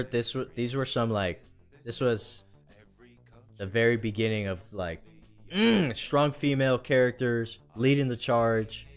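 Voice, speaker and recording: A man hosting a live stream in casual chat, enthusiastic and slangy, with music behind him. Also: mid-range voice.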